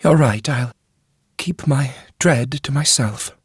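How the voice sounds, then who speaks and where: A woman's voice: extremely low pitch, takes it slowly, breathy edge, no echo, studio quality, voice pushed up, heavily acted. A man voicing an anxious, tearful young noblewoman who speaks in a fretful, pleading way. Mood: subdued.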